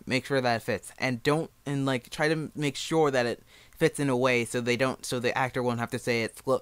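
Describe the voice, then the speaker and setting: A young man with a clear, smooth voice at an average pitch walks through a how-to in casual, informal language. The sound is dry and close, with no room on it. He is self-deprecating and speaks as an amateur helping beginners. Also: a bit bright, dry recording. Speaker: amateur fan dub creator giving a tutorial. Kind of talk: casual spoken tutorial.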